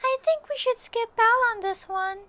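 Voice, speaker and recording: Little fairy sidekick, super high squeaky kid voice, excitable, unhurried, dry close recording. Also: female voice, dry recording. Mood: alarmed. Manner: refers to self in third person, squeaky and childlike. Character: tiny floating fairy companion, childlike, chatty and excitable. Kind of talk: fan audio roleplay acting.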